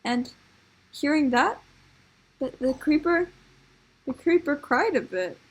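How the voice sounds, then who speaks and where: A woman improvising comic stories for a puppet show in a giggly, halting way. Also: high voice, expressive, rough recording. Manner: giggly, halting, making it up as she goes.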